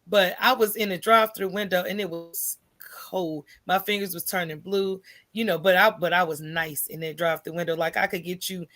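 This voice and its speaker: An American woman talking as a podcast guest in an animated, colloquial storytelling way, candid and often checking in with "right?". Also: lowish voice, normal speed.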